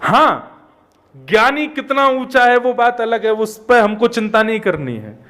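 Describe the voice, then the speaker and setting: High-voiced male katha preacher. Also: unhurried. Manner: conversational, animated storytelling with rhetorical questions. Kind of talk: devotional discourse with everyday anecdotes.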